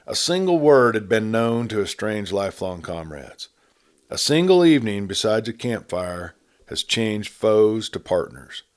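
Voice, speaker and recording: Low-voiced man narrating a western story. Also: very animated, unhurried. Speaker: audiobook narrator and host. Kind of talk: dramatic scripted story narration of a western tale.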